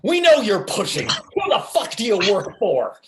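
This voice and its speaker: A man's voice: high voice, wildly swooping pitch, normal speed, background noise, full character voice. A man playing an edgy, foul-mouthed and sarcastic tour guide in withdrawal in a comedy table reading. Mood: aggressive. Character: brash, crude, edgy male factory tour guide in withdrawal. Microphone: video call. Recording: video call audio.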